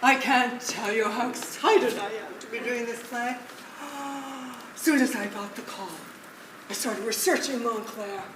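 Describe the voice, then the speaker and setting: A distant, noisy stage recording of a woman acting as an earnest, thrilled actress. The voice is fairly high and eager, in a live amateur comedy. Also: measured pace, roomy sound, natural voice. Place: indoor theatre stage.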